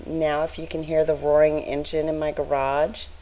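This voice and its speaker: A woman with a weak, raspy, low voice, chatting casually in a voice-over as she narrates her scrapbooking work. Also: level tone, moderate pace, low-quality recording. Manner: soft-spoken, chatty, straining to be heard. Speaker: scrapbooking channel host.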